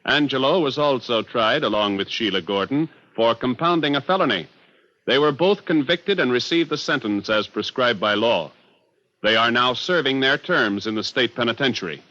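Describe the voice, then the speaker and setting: A man's voice: medium pitch, animated, moderate pace, bright, forceful tone, no echo. An American man announcing from a script in formal, authoritative 1950s radio style. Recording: muffled old radio broadcast, faint music.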